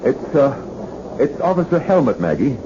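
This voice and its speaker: An actor playing a guilty, nervous, weak-willed conspirator in 1970s radio drama, anxious and halting. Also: male voice, mid-range voice, big pitch swings. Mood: flustered. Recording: noisy, dull 1970s radio broadcast.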